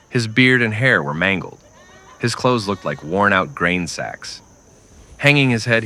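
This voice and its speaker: A man narrating a scripted western in old-time radio style, with dramatic, deliberate storytelling. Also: lowish voice, sharp tone.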